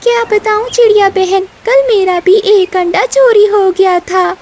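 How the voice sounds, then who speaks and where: A woman's voice: extremely high pitch, fairly even intonation, unhurried, darkish tone, pitched above the performer's own voice. A woman voicing a concerned, sympathetic female parrot with a plaintive manner in a children's cartoon. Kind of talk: Hindi children's cartoon voice acting.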